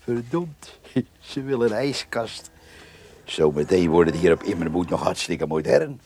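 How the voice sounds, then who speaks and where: A man playing a gruff, rustic farmhand in a radio drama, muttering and rambling to himself. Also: low-pitched, animated, measured pace, a little mellow, a little hiss or hum. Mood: musing, amused.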